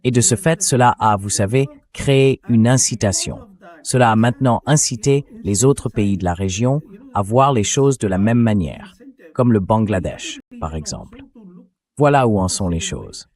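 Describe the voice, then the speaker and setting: Low-voiced man giving political analysis. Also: lively intonation, normal speed, a little mellow. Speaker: interview guest, analyst. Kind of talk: translated political commentary voice-over read by synthetic voice.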